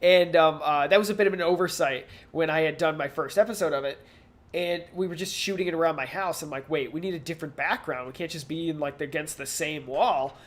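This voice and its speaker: Encouraging male co-host, slightly high voice. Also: a little fast. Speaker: podcast co-host. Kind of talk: casual chat.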